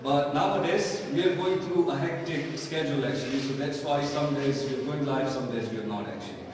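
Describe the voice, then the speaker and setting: A man's voice: mid-range voice, fairly quick, a crowd in the background. A man with a Pakistani accent teaching a live online English lesson in a didactic way, often asking questions and answering them himself. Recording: very echoey hall, distant mic, faint noise.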